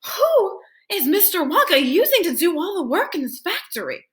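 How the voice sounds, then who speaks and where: A woman puts on a curious young storybook boy, pitched high and swooping. The boy sounds eager and urgent, full of wide-eyed wonder. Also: big pitch swings, normal speed, fairly bright tone, full character voice.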